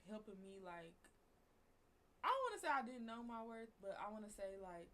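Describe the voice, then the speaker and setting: A woman's voice: mid-range voice, sing-song, normal speed, bright, forceful tone. A woman in her twenties co-hosting a podcast, talking loosely and rambling with many fillers. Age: 20s. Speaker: podcast co-host. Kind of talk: casual chat.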